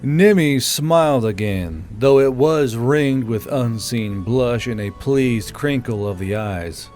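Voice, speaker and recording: A man's voice: medium pitch, expressive, slow, a little mellow, faint music behind. A man reading scripted fiction as an audiobook narrator.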